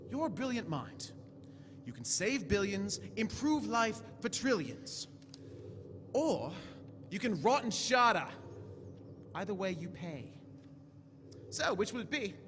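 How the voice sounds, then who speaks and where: A man playing a high-voiced, grandiose time-traveller. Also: sing-song, slow, pauses often, a bit bright, a little hiss or hum, exaggerated voice. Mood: stern, commanding. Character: eccentric, commanding, kindly male time-travelling alien adventurer. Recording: echoey stage hall with music.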